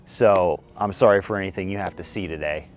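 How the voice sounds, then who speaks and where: Slightly hoarse young man, casual outdoor vlog. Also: low-pitched, brisk, soft background music. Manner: friendly, self-deprecating, explanatory. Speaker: disc golf video host. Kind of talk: casual tips vlog filmed on the course. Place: outdoors on a foggy disc golf course.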